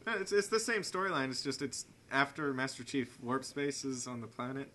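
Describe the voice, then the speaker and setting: A man's voice: mid-range voice, normal speed, pushed and bright. A young man chatting casually and enthusiastically about video games as a podcast co-host, with firm opinions. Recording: amateur podcast, clear background noise.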